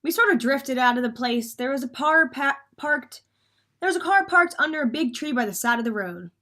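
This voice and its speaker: A woman plays a bossy small-time crook in a vintage radio drama. The voice is fairly high, with clipped, slangy, hardboiled delivery and a cocky air. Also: animated, a little fast, bright, forceful tone. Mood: narrating coolly. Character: cocky male crook Stanley, bossy schemer and hardboiled narrator.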